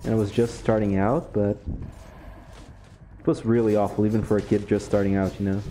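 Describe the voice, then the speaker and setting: A young man from Brazil, a Portuguese speaker with a Brazilian accent, chatting casually over gameplay, relaxed and laughing often. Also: on the low side, brisk, a little hiss or hum. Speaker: gaming YouTuber.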